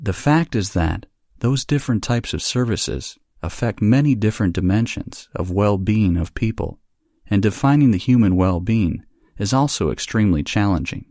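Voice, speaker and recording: Low-voiced man reading practice passages. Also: expressive, normal speed, a little mellow, no echo, clean studio sound. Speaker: narrator of English test practice passages. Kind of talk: scripted read-aloud narration.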